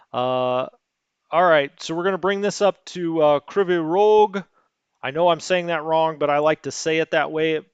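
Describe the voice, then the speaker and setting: Chatty man thinking aloud, smooth voice. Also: on the high side, a bit bright, no echo, dull audio. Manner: rambling, self-questioning, hesitant, many fillers. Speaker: let's play host. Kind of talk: thinking aloud while playing a strategy game.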